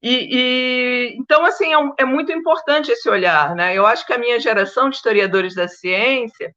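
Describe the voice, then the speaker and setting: A woman's voice: on the high side, normal speed, a bit bright, highs cut off. A Brazilian woman, a historian of science, speaking informally as a panel guest in an emphatic, digressive, conversational manner, often checking that listeners follow. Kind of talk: informal academic panel talk.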